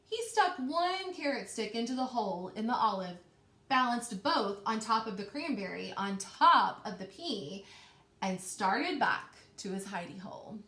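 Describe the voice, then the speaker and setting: Expressive woman reading aloud, roomy echo. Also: on the high side. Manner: expressive, stretching key words, checking understanding. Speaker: teacher reading aloud to children. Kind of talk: children's storybook read-aloud with questions. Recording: roomy, echoing indoor sound.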